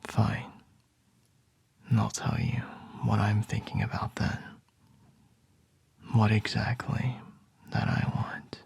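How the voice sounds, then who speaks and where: A man doing an ASMR roleplay as a boss, speaking in a slow, teasing, intimate whisper close to the microphone. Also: on the low side, flat delivery, gravelly, darkish tone, breathy edge.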